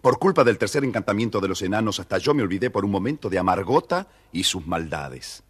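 A man narrating a children's storybook in an expressive, theatrical way. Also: lowish voice, a little fast, slightly rough.

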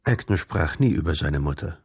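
A man narrating a radio drama in a measured, literary storytelling manner. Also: extremely low pitch, expressive.

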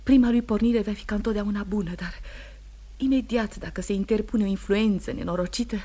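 An actress playing a wounded, anxious young wife in a radio play, breathy and often hushed, earnest and halting. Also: female voice, mid-range voice, lightly acted. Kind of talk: Romanian radio theatre acting.

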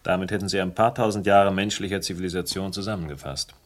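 Actor as a low-voiced, level-headed investigator. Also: male voice, fairly even intonation, fast, close to an everyday voice. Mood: dry. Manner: controlled, probing, dry. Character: level-headed male investigator, wary and sardonic. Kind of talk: German radio crime drama acting, noir narration.

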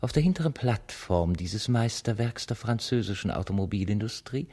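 An actor playing a pompous, grandiose narrator in a literary radio piece, declaiming in florid phrases. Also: male voice, lowish voice, expressive, normal speed, mellow.

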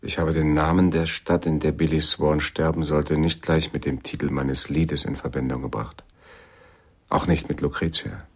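An actor playing a brooding jazz pianist, reflective and restrained, recalling a lost love in a radio drama. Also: male voice, a bass voice, fairly even intonation, moderate pace, breathy edge, full character voice. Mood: wistful.

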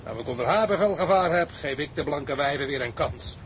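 An actor playing a cheerful, boastful, rough tugboat sailor in a Dutch period drama, talking in a jovial, colloquial way. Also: male voice, on the high side, expressive, fairly quick, a bit bright, slight background noise. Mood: enthusiastic.

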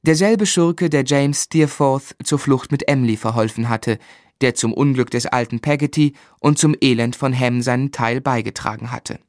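Dry-sounding, earnest young gentleman narrator played by a man. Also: medium pitch, dry recording, close to an everyday voice. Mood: reflective. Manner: measured, reflective, formally polite. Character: earnest, restrained young gentleman telling his life story. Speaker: narrator and protagonist. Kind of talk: literary radio play narration.

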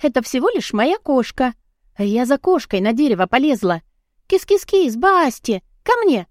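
A woman's voice: sits high, sing-song, moderate pace, no echo, studio quality, pitched above the performer's own voice. A woman voicing a flustered, indignant young woman in an audiobook, wordy and defiant, chattering in protest. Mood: protective, defiant. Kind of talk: audiobook character dialogue.

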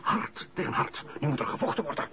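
A man's voice: fairly high pitch, big pitch swings, a little fast, vocal fry, pushed and bright, heavily acted. A Flemish actor playing an embittered, combative middle-aged office worker in a radio drama, sarcastic about his rival. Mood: defiant.

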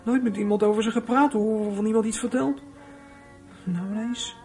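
A man's voice: sits high, steady tone, moderate pace, mellow, faint music behind. A young man playing a troubled, resentful teenage runaway in a radio drama, muttering his thoughts and flaring up in indignation. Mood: hurt, indignant. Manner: muttering to himself, bursts of indignation. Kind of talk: radio drama acting with inner monologue.

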